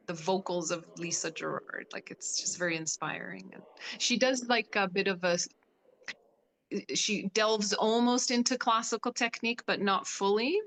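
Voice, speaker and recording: Female interview guest, originally from Poland, relaxed and filler-heavy, mid pitch. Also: slight background noise, low-quality recording. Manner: chatty, many "like" fillers. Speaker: guest, classical singer. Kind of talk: casual interview chat.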